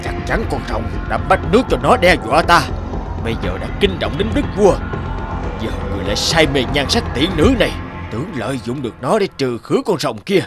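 A man's voice: fairly high pitch, very animated, fairly quick, loud music behind the voice. A man voicing a villainous, blustering royal chancellor in a cartoon fairy tale, sly in manner. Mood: scheming.

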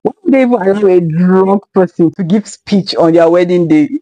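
A woman's voice: fairly low pitch, brisk, warm tone, dry recording, low-quality recording. A young woman hosting a reaction video who chats casually and reacts with incredulous, laughing asides, talking into a headset in a small room.

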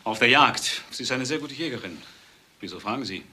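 Male doctor, crime drama: courteous, wary, husky, extremely forceful edge. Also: medium pitch, lively intonation, a little fast, heavily acted. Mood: guarded. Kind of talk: German crime radio drama acting.

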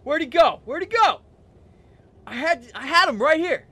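An actor in a radio drama playing an excitable, rough-and-ready local policeman. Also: very high voice, normal speed, music in the background. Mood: flustered shouting.

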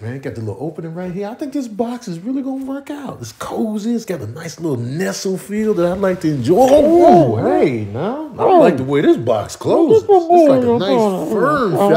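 A man's voice: sits high, mellow, heavily acted. A man voicing a self-satisfied cat in a comedy dub, talking in slangy, savoring tones. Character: self-satisfied cat admiring its cozy box.

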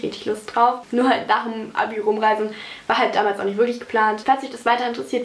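A woman's voice: medium pitch, roomy sound. A young woman vlogging from her bedroom, chatting casually and rambling with lots of fillers while she bakes. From Germany. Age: young adult. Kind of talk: casual chat while baking. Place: bedroom at home, indoors.

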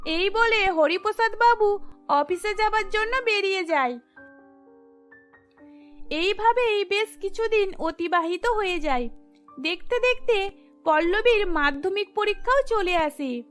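A woman's voice: extremely high pitch, lively intonation, a little slow, long pauses, a bit bright, soft background music. A woman giving scripted storytelling narration for a cartoon.

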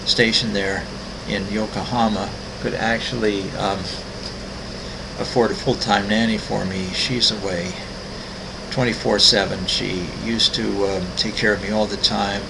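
A man in his sixties, an artist walking through his website, talking casually with many ums, pauses and restarts. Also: fairly low pitch, steady tone, creaky, noisy background. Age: 60s. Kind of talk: casual screen-recorded walkthrough.

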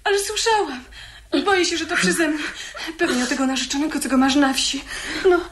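High-voiced, naive, excitable young girl. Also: fairly quick. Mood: eager, reassuring. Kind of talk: 1970s Polish radio drama acting. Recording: faint hiss on old radio recording.

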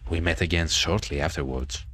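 A man's voice: a bass voice, steady tone, fairly quick, a bit bright, slight background noise. A man reading the part of a vain, bitter ghost of a hanged girl in a horror visual novel, with dramatic storytelling. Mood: haunting.

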